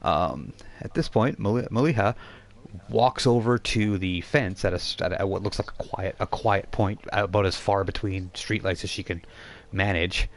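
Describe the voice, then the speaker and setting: A man acting as game master on a live stream, narrating informally and haltingly as he thinks aloud. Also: low voice. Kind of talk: narrating a live online tabletop role-playing game. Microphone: voice chat microphone on a live stream.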